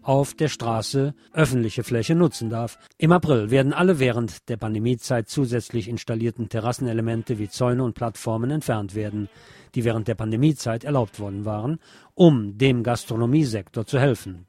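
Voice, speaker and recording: A man's voice: mid-range voice, mellow. A man presenting radio news with sardonic, opinionated commentary. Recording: music bed under the voice. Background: music.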